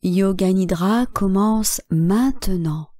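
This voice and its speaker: A woman guiding a relaxation meditation as a yoga nidra instructor, calm and measured, speaking in short phrases with long pauses. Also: medium pitch, dry recording, studio quality.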